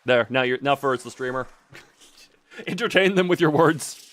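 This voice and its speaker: A man chatting casually on a gaming livestream, rambling and expressive with mildly crude exclamations. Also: mid-range voice, moderate pace. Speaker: live streamer.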